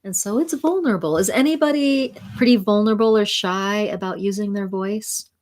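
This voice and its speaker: A woman hosting a spiritual sound healing livestream, talking slowly and soothingly while reading viewer comments and laughing softly. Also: medium pitch. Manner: slow, soothing, reflective, with soft laughs and restarts.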